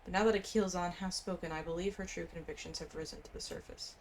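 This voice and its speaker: A woman's voice: lowish voice, steady tone, fast, a little hiss or hum. A woman playing through a game story, commenting casually with offhand asides and reading quest text aloud.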